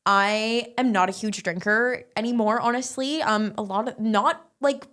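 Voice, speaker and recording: A young woman, a barre instructor, talking casually as an interview guest, chatty and quick with lots of filler words. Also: a little high, fairly bright tone. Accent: English-speaking, non-French.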